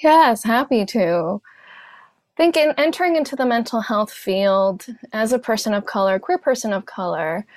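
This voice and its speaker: A woman from Hong Kong whose first language is Cantonese, a therapist guest telling her story in a thoughtful, measured way. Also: a little high, no echo. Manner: thoughtful, measured, speaks in lists. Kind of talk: reflective personal storytelling.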